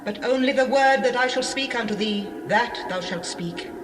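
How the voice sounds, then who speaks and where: Woman acting solemn unearthly creature, reproachful, measured, distant, clear background noise, scripture drama. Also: on the high side, lightly acted. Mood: stern reproof. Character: otherworldly speaker, talking ass and reproving angel. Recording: distant voice with noisy ambience.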